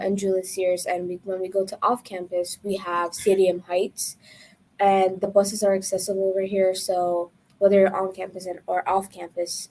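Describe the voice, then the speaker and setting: A young woman from Ethiopia, a university student, presenting housing information in a measured way with a few "um"s. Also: mid-range voice, mellow, a little hiss or hum, rough recording. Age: young adult. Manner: informative, measured, with some ums.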